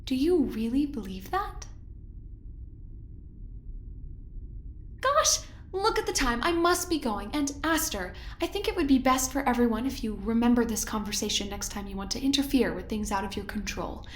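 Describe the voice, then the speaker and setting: An actress playing a coolly menacing doctor in charge in an audio drama, condescending and falsely polite. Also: female voice, fairly high pitch, expressive, brisk, echoey room, natural voice. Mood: sweetly menacing.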